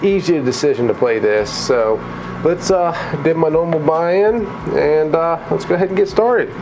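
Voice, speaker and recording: A man with a cold, a little raspy and tired, chatting casually through a coin pusher vlog in an arcade. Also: fairly high pitch, normal speed, background noise. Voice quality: slightly mumbled from a lozenge. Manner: chatty, excitable at wins. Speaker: vlogger.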